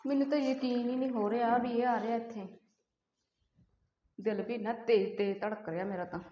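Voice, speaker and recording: A woman's voice: fairly high pitch, steady tone, fairly quick, lightly acted. A young woman playing a wistful, resigned married woman in an amateur short drama. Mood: flustered, regretful. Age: young adult. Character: wistful young married woman meeting her old college admirer. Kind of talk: amateur short-film dialogue. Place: small hard-walled room indoors, camera at some distance. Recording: echoey room, dull lo-fi audio.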